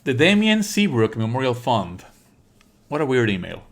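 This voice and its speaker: A man's voice: medium pitch, sing-song, moderate pace. A man streaming a let's play, reading on-screen text aloud and adding sarcastic, exasperated asides. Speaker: streamer playing a visual novel.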